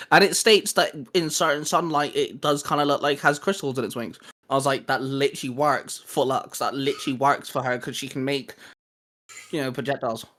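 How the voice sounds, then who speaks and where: A man co-hosting a fan channel, chatting casually and geekily as he pitches creative ideas. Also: fairly bright tone.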